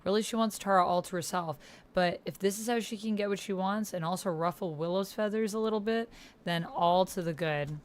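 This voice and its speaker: A woman's voice: medium pitch, brisk. A woman giving casual commentary while typing and reading out an online roleplay chat, thinking aloud as she goes. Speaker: YouTuber playing a text-based roleplay game. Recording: noticeable background noise, keyboard typing. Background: computer keyboard typing.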